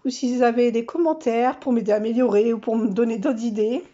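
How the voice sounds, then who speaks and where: A woman's voice: fairly high pitch, fairly even intonation, brisk, dark tone, lo-fi sound. A woman vlogger with a stuffy, nasal voice from a cold, chatting casually and self-mockingly through her monthly challenges with lots of fillers.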